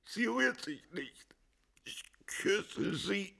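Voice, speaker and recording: Radio drama: grieving drinker husband, rough gravelly voice, very expressive, halting, slipping toward whispers. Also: male voice, high voice, full character voice. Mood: agitated, grief-stricken. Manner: halting, anguished, dropping into breathy near-whispers. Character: distraught, hard-drinking husband questioned over his wife's murder. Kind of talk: German radio drama acting.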